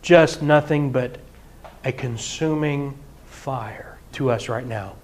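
A middle-aged man preaching a sermon in a church hall, animated and often breaking into mock singing and laughter. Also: mid-range voice, slow pace, a little hiss or hum. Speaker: pastor. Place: church hall with projector screen.

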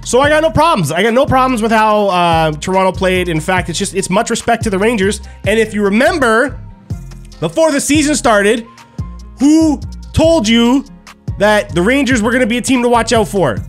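A young man with a fairly high voice gives post-game hockey talk to his stream, animated and repeating himself for emphasis. He is close on a studio microphone in a small room at home. Faint music plays behind him. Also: moderate pace. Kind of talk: casual post-game chat to viewers. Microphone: studio mic on stand, headphones on.

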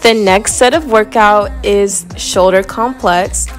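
A woman's voice: mid-range voice, takes it slowly. A young adult woman vlogger casually narrating a workout. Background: faint music.

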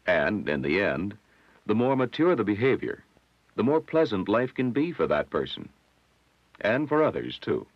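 An American man narrates an educational film from the 1960s over background music. His low voice moves with lively intonation and a measured, instructive delivery. The soundtrack is dull and lo-fi. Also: no room sound.

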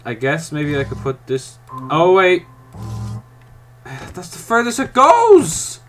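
A man's voice: fairly high pitch, wildly swooping pitch, slow, a bit bright, soft background music. A man giving let's-play commentary, chatting to himself while he works out puzzles and snapping at the game in mock frustration. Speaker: gaming YouTuber.

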